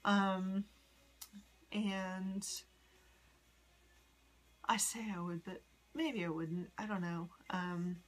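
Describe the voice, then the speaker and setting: A woman in her forties from the United States, rambling in a personal vlog with many ums and soft whispery asides. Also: mid-range voice, fairly even intonation, drawn-out words, long pauses. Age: 40s. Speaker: vlogger. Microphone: phone.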